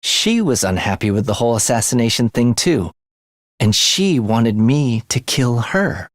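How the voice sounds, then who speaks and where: A man's voice: medium pitch, very animated, a little slow, no echo, clean studio sound. A man voicing a reluctant teenage assassin in a video game, polite and conversational, matter-of-fact about killing. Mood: calm, morbid. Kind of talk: scripted video game character monologue.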